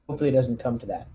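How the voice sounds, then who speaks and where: A man's voice: mid-range voice, mellow. A man chatting casually as a player in a tabletop roleplaying game, taking charge and organizing the group over an online voice call. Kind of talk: casual game-session chat.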